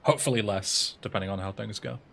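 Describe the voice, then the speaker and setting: A man's voice: fairly low pitch, fast talker, vocal fry, a bit bright, a little hiss or hum. Chatty English guy in his twenties. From England. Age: 20s. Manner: chatty, answers viewers' messages aloud between gameplay talk. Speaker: streamer and speedrunner. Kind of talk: casual livestream chat.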